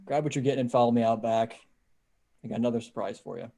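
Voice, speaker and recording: A man plays a decent sheriff's deputy surviving hard times. His voice sits at a medium pitch. The delivery is plain and casual, like a dramatic script read over a video call. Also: fast, gravelly, dark tone, natural voice. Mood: calm, reassuring. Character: decent, steady male small-town sheriff's deputy, survivor. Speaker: actor in an amateur table read.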